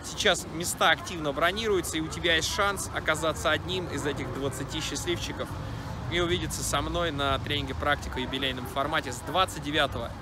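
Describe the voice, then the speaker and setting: A man in his thirties, a dating coach, pitching his training straight to camera in a brisk, persuasive, slangy way, filmed close up in an outdoor park. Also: steady tone. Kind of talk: direct-to-camera sales pitch. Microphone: camera at close range. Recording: outdoor street noise behind.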